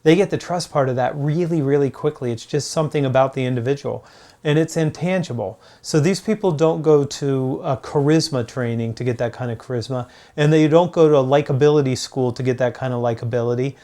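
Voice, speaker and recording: A man hosting a business advice video, speaking straight to the viewer in an emphatic, motivational way with deliberate repetition. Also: mid-range voice, warm tone. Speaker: host, sales author and coach.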